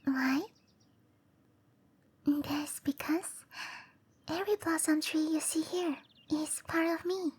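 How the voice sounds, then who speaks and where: A woman voicing a gentle, shy cherry blossom dryad in whispery roleplay ASMR, with soft breathy speech and a tender, hesitant manner. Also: high-pitched. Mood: gentle, earnest. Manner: slow, tender, hesitant delivery.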